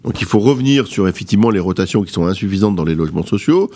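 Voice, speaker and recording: A man's voice: low-pitched, expressive, rapid-fire, mellow. A middle-aged man speaking emphatically and rhetorically in an opinionated panel discussion, addressing his listeners directly. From born outside France, moved there at eighteen. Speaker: guest commentator on housing policy.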